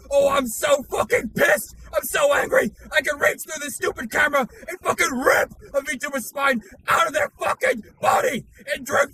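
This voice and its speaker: A man's voice: very high voice, gravelly, bright, forceful tone, full character voice, rough recording. A man playing a furious, hateful ranter who screams and swears in a violent tirade.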